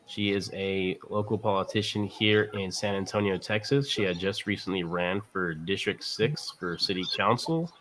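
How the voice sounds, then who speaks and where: An American man with a fairly low but bright, forward voice hosts a casual interview. He asks plain conversational questions, with some noise faintly behind him. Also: normal speed, hardly any pauses, rough recording. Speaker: show host, interviewer.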